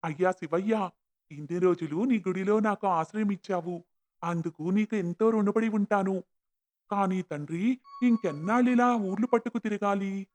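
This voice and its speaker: Man playing a high-voiced, humble, earnest labourer. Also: normal speed, a little mellow, close to an everyday voice. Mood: hopeful, devout. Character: humble, devout, hardworking orphan man, pleading and sincere. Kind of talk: cartoon moral-story acting. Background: background music.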